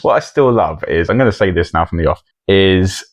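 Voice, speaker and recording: Low-voiced British man chatting. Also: expressive, fairly quick, no room sound. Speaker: podcast co-host. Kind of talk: casual podcast banter.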